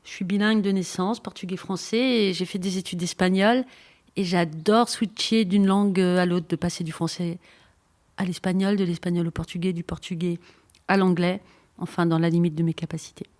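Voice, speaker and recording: A woman co-hosting a bicycle radio project, presenting it in a lively, list-like scripted delivery. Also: on the low side, moderate pace.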